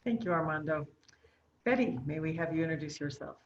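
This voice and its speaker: A woman's voice: deep voice, lively intonation, brisk, dull audio. A woman moderating a panel, thanking each speaker graciously and handing off to the next. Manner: gracious, brief hand-offs.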